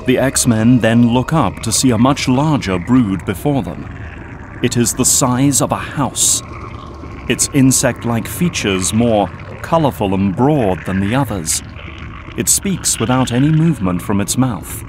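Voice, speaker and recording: A man giving scripted narration for an audio drama in an even, descriptive manner. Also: medium pitch, measured pace, noisy background.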